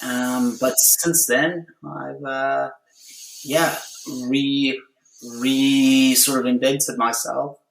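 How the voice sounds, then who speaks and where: A man introducing himself as an interview guest, hesitant and pausing often. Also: mid-range voice, level tone, vocal fry, a bit bright. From South Africa. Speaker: podcast guest, former Royal Marine. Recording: echoey room.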